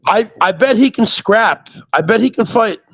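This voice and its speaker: Loud, crude man with a high voice, needling his friend in dry, close banter. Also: expressive, normal speed, no room sound. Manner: brash, profane, mocking, does mimicking voices.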